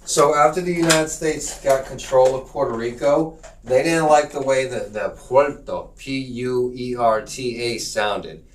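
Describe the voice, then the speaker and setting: A man's voice: medium pitch, measured pace, far from the mic, slight background noise. A man teaching a live-streamed geography class, rambling with lots of fillers, restarts and joking asides.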